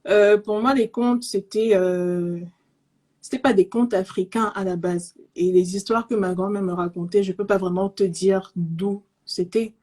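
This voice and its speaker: A woman from Cameroon, raised in Zimbabwe in an English-speaking home, speaking softly and hesitantly with many fillers as an interview guest over a call microphone. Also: mid-range voice, steady tone, unhurried. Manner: soft-spoken, hesitant with many fillers and restarts. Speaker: guest, pastry entrepreneur. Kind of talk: casual online interview. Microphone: remote call microphone.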